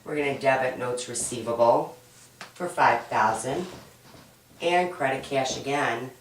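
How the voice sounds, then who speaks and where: A woman with a low, hoarse, raspy voice from a cold walks through homework problems one step at a time. She is patient and keeps checking in with "right?". The recording sounds roomy and distant, as if picked up from across the room. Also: measured pace, a little hiss or hum. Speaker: accounting instructor. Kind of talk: homework walkthrough lesson. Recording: roomy echo, mic at a distance.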